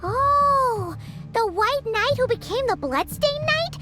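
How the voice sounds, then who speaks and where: A woman voicing a tiny, chatty floating girl companion who asks curious, excitable questions in a video game. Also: extremely high pitch, slow, music in the background, heavily acted.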